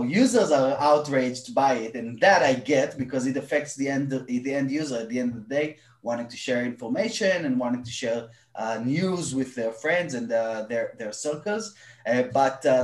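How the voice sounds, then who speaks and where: A man's voice: mid-range voice, animated, normal speed, reverberant. A man whose first language is Hebrew, a guest on a panel, opinionated and full of fillers and restarts. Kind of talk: casual panel discussion.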